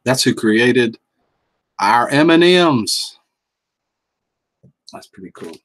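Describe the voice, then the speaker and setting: A man's voice: mid-range voice, lively intonation, takes it slowly, a bit bright. A middle-aged man with a Southern US accent, chatting casually and folksily while he hosts a livestream and reads out the chat. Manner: folksy and chuckling, drawls out words. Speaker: livestream host reading chat.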